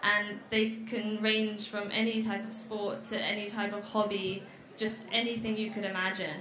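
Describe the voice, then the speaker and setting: A young woman giving a friendly presentation at an education fair, explaining slowly with small fillers. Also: medium pitch, flat delivery, fairly bright tone, slight background noise. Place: large echoey exhibition hall. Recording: echoey hall.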